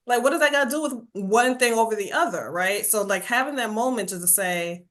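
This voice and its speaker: A woman's voice: fairly high pitch, a little fast. A woman from Jamaica with a New York accent, a guest on a podcast, talking casually and animatedly, acting out quotes and tagging lines with "right?". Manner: animated storyteller, says "right?" constantly, acts out quotes. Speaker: podcast guest, burnout coach. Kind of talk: casual conversational interview.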